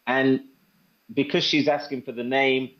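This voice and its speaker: A man's voice: medium pitch, level tone, unhurried. A man presenting an informal product demonstration in conversational talk. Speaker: presenter demonstrating a phone assistant. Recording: dull lo-fi audio.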